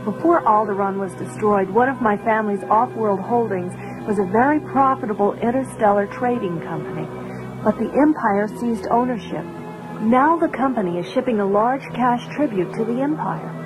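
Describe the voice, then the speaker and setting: An actress playing a brisk, authoritative young rebel princess in a 1980s audio drama. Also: female voice, a little high, natural voice, rough recording. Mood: businesslike. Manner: businesslike, authoritative. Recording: music behind, radio drama production.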